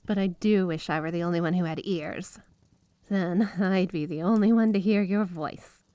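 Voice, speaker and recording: A woman's voice: on the low side, normal speed, mellow, dry recording, lightly acted. A woman playing a flirty, obsessively devoted yandere wife in an ASMR roleplay, speaking in a sweet, clingy, gushing way. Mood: wistful, possessive. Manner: sweet, clingy, breathy giggles, gushing affection. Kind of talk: ASMR roleplay, addressing the listener as spouse. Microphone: close studio microphone, dry.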